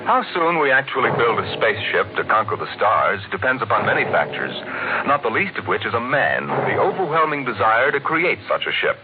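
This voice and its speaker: An actor playing a grave, theatrical storyteller, narrating in dramatic 1950s radio style. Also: male voice, mid-range voice, big pitch swings, a little fast, close to an everyday voice. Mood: portentous. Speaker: narrator and announcer. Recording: tinny vintage radio recording, music.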